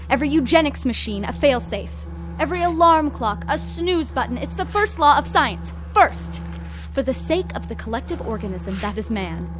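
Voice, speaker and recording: A sharp-tongued scientist in an audio drama. She is commanding, caustic and exasperated, her voice pitched high over a clear music bed. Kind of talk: theatrical audio drama acting.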